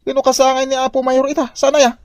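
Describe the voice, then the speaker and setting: A man's voice: extremely high pitch, monotone, quick pace, no echo, clean studio sound. A man playing a scheming, gluttonous village husband in an Ilocano comedy drama, blustering and evasive. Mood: greedy urgency.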